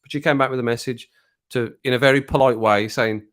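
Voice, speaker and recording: A man's voice: mid-range voice, steady tone, a little fast, a bit bright. A man hosting a casual live stream from home over a drink, greeting viewers in the chat and laughing at his own jokes. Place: at home, living alone.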